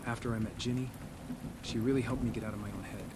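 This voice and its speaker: A man's voice: mid-range voice, steady tone, quick pace, lightly acted. A man playing an anxious, introspective man in a game, speaking confidingly in a reflective first-person monologue. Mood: wistful, fond. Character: Adam, anxious introspective man narrating his troubled life. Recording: clear rain noise behind.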